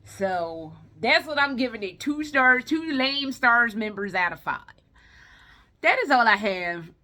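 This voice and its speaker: Woman vlogger ranting abt a movie, real high sharp voice, super blunt n sweary. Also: expressive, measured pace. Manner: exasperated, blunt, heavy swearing. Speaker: video game and movie vlogger. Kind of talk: casual ranting movie review.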